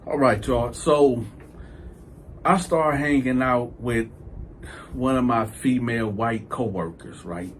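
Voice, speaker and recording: A man's voice: mid-range voice, slow, noisy background. A man hosting a storytime vlog, telling his tale animatedly and jumping into other voices for the quoted parts. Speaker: storytime channel host.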